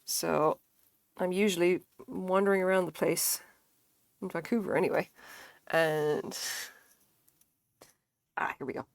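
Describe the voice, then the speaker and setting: A woman's voice: on the low side, fairly even intonation, unhurried, creaky, long pauses, dry recording, clean studio sound. A woman in her thirties with an Irish accent chatting casually on a live stream, rambling, swearing freely and laughing often. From Ireland. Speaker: crochet streamer.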